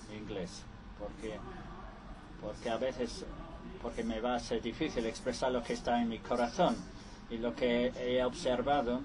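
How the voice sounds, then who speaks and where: A man interpreting conference speeches live, halting and restarting often. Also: mid-range voice, noisy background.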